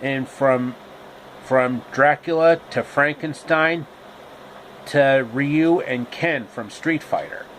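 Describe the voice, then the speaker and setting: A man narrating a homemade story with deliberate, halting phrasing. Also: mid-range voice, a bit bright, slight background noise, rough recording.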